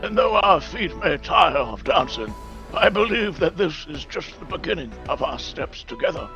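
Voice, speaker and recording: A pompous gentleman chef from a comic dating game. His voice is bright and gravelly, with courtly, theatrical romance over faint music. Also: on the high side, big pitch swings, moderate pace, lo-fi sound, full character voice. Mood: earnest, romantic. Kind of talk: comic dating-sim voice acting.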